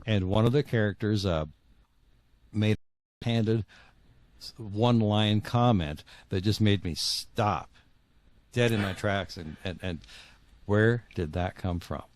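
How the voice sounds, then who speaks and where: A middle-aged or older man with a low-pitched voice, captured close and dry. He talks as a guest in a reflective conversation, circling back over words as he looks for the right phrase. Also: darkish tone, dry recording. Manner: halting, repeats words while searching for phrasing.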